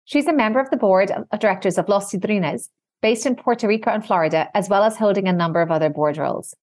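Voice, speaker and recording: A woman hosting a podcast, reading a composed introduction and asking interview questions clearly. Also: mid-range voice, fast talker, darkish tone, no room sound, studio quality. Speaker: podcast host.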